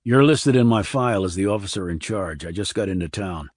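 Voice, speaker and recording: A man's voice: low voice, lively intonation, a little fast, darkish tone, dry recording, studio quality. A man playing a terse, wry, formal Army investigator with clipped military delivery in an audiobook. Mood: dry, firm. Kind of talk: audiobook character acting.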